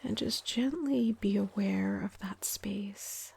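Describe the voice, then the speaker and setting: A woman leading a guided meditation in a soft, breathy, whispery voice, calm and gentle, with unhurried pauses. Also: mid-range voice. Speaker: meditation guide, yoga teacher.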